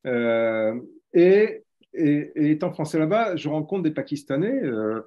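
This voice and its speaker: A middle-aged or older man from France tells anecdotes as a guest writer, halting and dryly humorous. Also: on the high side, sing-song. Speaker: journalist and novelist guest. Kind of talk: anecdotal explanation in a webinar. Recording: dull lo-fi webinar audio.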